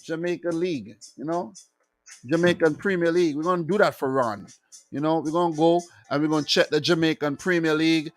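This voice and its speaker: A man's voice: on the high side, a little fast, faint music behind. A man hosting a football live stream, talking in a loose, joking way with frequent restarts. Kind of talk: casual live-stream chat.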